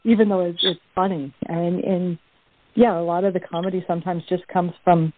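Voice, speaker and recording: A woman explaining things in a casual interview over the phone, haltingly and often repeating her words. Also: fairly low pitch, level tone, a little hiss or hum. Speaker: cast member. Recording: tinny phone line.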